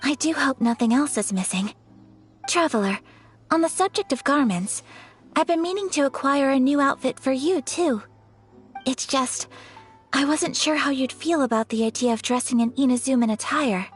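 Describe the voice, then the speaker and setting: Casting: female actor for a courteous, well-bred young lady in an anime game, high register, gentle and composed phrasing. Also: soft background music, close to an everyday voice. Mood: polite. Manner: formal, measured, courteous. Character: refined, gentle young noblewoman, polite and gracious.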